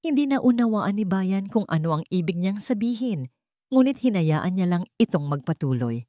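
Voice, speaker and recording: A woman's voice: medium pitch, very animated, normal speed, mellow, dry recording, clean studio sound. A woman reading a scripted romance story as a dramatic narrator.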